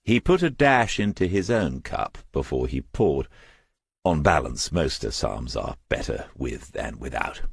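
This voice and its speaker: A man's voice: very deep voice, big pitch swings, a little slow, vocal fry, slightly rough, no echo. A man reading crime fiction as a scripted audiobook narrator, close to the microphone.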